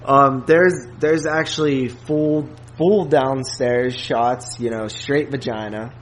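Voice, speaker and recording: A man's voice: mid-range voice, fairly even intonation, slow, a little hiss or hum. A man hosts a casual film review chat. His talk is informal and slangy. He often halts and circles back over phrases before landing his point. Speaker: podcast host.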